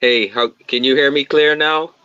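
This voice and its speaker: A man from the Bahamas calling in as a guest, speaking politely and haltingly with many fillers over a video call. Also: mid-range voice, sharp tone, clean tone, no room sound, dull audio. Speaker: guest caller. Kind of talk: casual fan chat.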